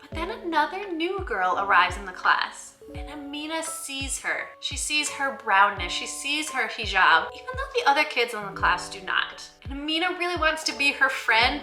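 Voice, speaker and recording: Female book-channel host, enthusiastic and expressive, fairly high voice, faint music behind. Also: roomy sound. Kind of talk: scripted-from-notes book review vlog addressed to viewers.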